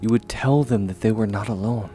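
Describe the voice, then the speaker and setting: A man playing a gentle, steadfast young swordsman mentor in a comfort ASMR roleplay, speaking softly and reassuringly, at times close to a whisper. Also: fairly low pitch, faint music behind, natural voice. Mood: gentle, encouraging. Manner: soft, reassuring, measured, often near whisper.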